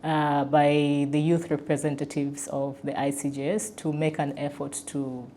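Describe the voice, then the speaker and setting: Low-voiced woman reflecting in discussion. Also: little pitch movement, measured pace, mellow. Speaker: podcast panelist, peace facilitator. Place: indoor room around a wooden table. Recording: clear background noise, room ambience.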